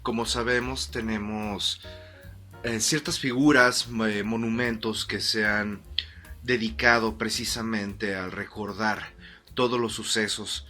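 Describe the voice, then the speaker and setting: A man playing a formal history-programme host in a radio drama, interviewing with hesitant pauses. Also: on the low side, fairly even intonation, pushed and bright, soft background music, heavily acted. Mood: earnest, inquiring. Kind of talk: scripted radio interview in a fiction podcast.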